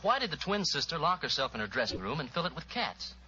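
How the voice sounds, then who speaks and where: Young man, highish and animated, old-time radio acting, dull hissy recording. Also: quick pace, exaggerated voice. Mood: puzzled. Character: grateful, puzzled young American man.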